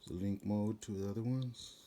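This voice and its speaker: A man's voice: lowish voice, steady tone, normal speed, mellow. A man giving a casual, plain-spoken product unboxing in the informal way of a working DJ presenter. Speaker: DJ rental business presenter.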